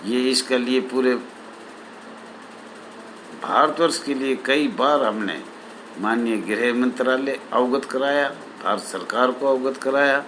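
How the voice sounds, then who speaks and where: A man addressing association members as their leader, deliberate and repetitive, in formal official phrasing. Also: medium pitch, a bit bright, slight background noise, poor audio.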